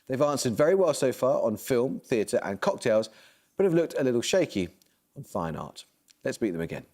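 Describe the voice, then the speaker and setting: A man hosts a studio quiz, reading each question in a crisp, measured way. His pitch moves in a lively way from phrase to phrase. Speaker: quiz show host.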